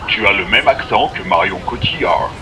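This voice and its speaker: A man voicing a deadpan machine translator that speaks slowly in a low voice. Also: very noisy.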